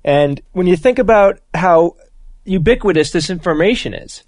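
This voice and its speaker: A man's voice: high-pitched, expressive, normal speed, a little mellow, dry recording, clean studio sound. A man co-hosting a talk show, speaking in a hesitant, friendly way. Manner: hesitant, friendly, laughs often. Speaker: podcast co-host. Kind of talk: casual chat.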